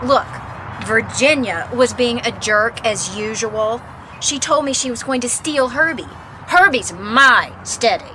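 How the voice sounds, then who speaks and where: An actress playing an impulsive, naive young college student in a radio mystery, petulant, breezy and defensive. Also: female voice, a little high, unhurried, background noise, close to an everyday voice. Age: young adult. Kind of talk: radio mystery drama acting.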